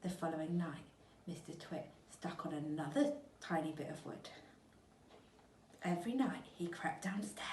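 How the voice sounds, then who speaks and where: A woman teacher reading a storybook aloud to children, expressive and speaking straight to them. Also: low voice, slight background noise. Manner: expressive, addresses young listeners. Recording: echoey room, mic at a distance.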